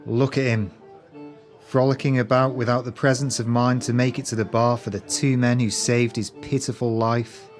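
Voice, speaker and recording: A man's voice: mid-range voice, flat delivery, normal speed, soft background music, close to an everyday voice. An actor playing a jealous, callous male swindler in an audio drama, sneering and self-pitying with grandiose, wordy speech. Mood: resentful, bitter.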